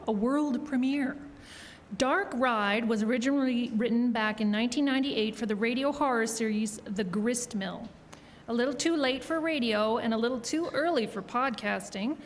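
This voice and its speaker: A woman announcer giving a scripted introduction to a show. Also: a little high. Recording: reverberant hall with faint background noise.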